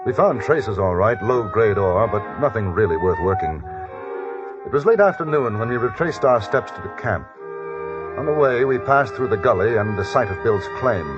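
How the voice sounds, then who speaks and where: A man playing a calm, principled gentleman in an old radio western, speaking in a measured, polite, articulate way. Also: on the low side, natural voice. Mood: reflective. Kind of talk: old-time radio western acting and first-person narration. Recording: dull old radio recording with music.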